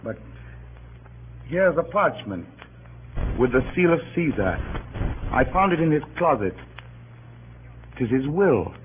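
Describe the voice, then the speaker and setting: An actor playing a grieving Roman orator stirring a mob, in theatrical, declamatory old-time radio Shakespeare style. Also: male voice, a little high, sing-song, slow, long pauses. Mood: measured, insinuating. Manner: theatrical, declamatory, swinging from hushed grief to cries. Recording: muffled, hissy old radio recording.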